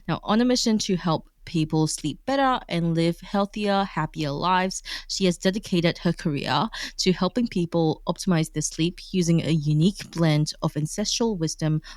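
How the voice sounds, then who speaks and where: A woman's voice: fairly low pitch, darkish tone, dry recording, studio quality. A woman hosting an interview podcast, reading a scripted intro and asking questions in an upbeat, encouraging way. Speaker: podcast host.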